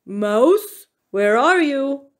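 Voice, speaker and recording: Woman putting on a pompous, slow-spoken storybook bear. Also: a little high, sing-song, exaggerated voice. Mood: questioning. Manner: pompous, declamatory, formal wording. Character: gruff, stern, pompous male bear, grumbling and formal. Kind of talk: children's storybook character reading.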